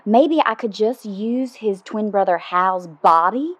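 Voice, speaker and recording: A woman's voice: mid-range voice, animated, slow. A woman playing an upbeat, guileless, lustful radio show caller in an audio comedy, bubbly and frank as she overshares. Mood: delighted, frank. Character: Doreen, upbeat, guileless, lustful female radio call-in listener. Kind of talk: audio comedy drama dialogue.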